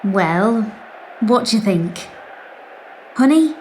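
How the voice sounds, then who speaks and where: A woman playing a timid, placating wife and gentle mother in an audio drama, hesitant and apologetic. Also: mid-range voice, animated, takes it slowly, a little hiss or hum, rough recording. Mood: hopeful, tentative. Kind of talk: naturalistic audio drama acting.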